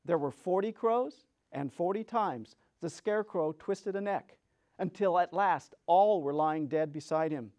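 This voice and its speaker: A man narrating a children's story as a dramatic reading, theatrical and expressive. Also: high voice, unhurried. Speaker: storyteller, reader.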